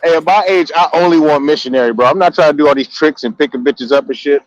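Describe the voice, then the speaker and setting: A man's voice: on the high side, quick pace, lo-fi sound. A man in his mid thirties on a podcast panel, bantering playfully and laughing often. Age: 30s. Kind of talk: casual banter.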